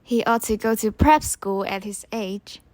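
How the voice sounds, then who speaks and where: A woman's voice: medium pitch, measured pace, darkish tone, no echo, natural voice. A woman acting in a radio drama as a jeering, mocking college bully. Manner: taunting.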